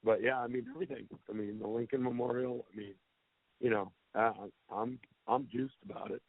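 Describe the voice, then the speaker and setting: A man coaching a football team talks candidly and plainly, with some self-deprecating honesty. His voice sits at a middle pitch and comes through a thin, tinny telephone line with no room sound at all. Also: fairly even intonation, fairly quick, gravelly, no echo. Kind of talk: answering questions on a press conference call. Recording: tinny phone line, dry.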